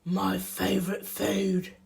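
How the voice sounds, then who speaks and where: Woman as a big, slow storybook monster. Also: mid-range voice, completely flat delivery, gravelly, darkish tone, slight background noise. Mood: menacing.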